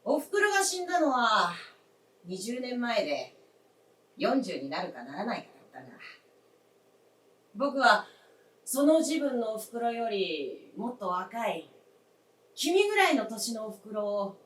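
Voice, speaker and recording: On an echoey stage, some way from the microphone, a middle-aged man in a radio play. He speaks in measured, musing phrases as a reflective grown son. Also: sits high, very animated, fairly bright tone, exaggerated voice. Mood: wistful, musing. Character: reflective middle-aged son remembering his late mother. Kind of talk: staged radio drama acting. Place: theatre stage. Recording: echoey stage captured from far off.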